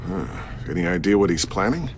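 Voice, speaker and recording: An actor playing a middle-aged, wry and protective tech expert and father in a video game, with a gravelly voice. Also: low voice, animated, fairly quick, slight background noise. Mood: focused, curious.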